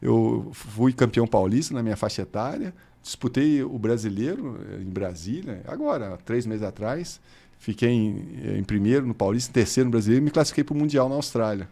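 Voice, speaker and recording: A man's voice: mid-range voice, expressive, brisk, gravelly, warm tone. A man in his fifties with a Brazilian Portuguese accent, a guest chatting casually in an interview and often laughing as he tells stories. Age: 50s.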